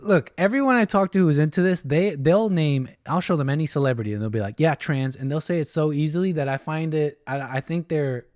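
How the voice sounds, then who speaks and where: A man's voice: fairly high pitch, a little fast, few pauses, darkish tone, no echo, studio quality. A man hosting a casual chat, skeptical and teasing, who often trails off mid-sentence. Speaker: host.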